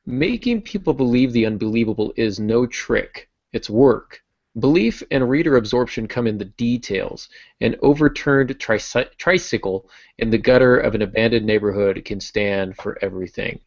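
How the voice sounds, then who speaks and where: A man's voice: medium pitch, lively intonation, normal speed, vocal fry, no room sound. A man co-hosting a casual podcast discussion, speaking emphatically and repeating key phrases over an online call. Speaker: podcast co-host. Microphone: online video call. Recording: dull, lo-fi internet call audio.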